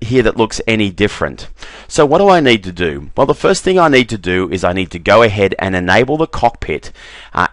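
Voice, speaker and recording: Man, low voice, dry close sound, relaxed screen-recording walkthrough with filler words. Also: dry recording. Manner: relaxed, repeats "very, very" and fills with "uh". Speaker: software demonstrator.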